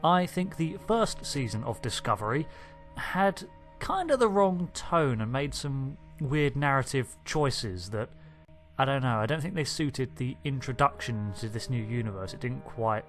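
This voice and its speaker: A man with a nasal, croaky voice and a sore throat, chatting unscripted as he reviews a trailer and thinks aloud with little asides. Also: mid-range voice, expressive, measured pace, fairly bright tone, faint music behind. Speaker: video host reviewing a trailer. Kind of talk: unscripted casual commentary.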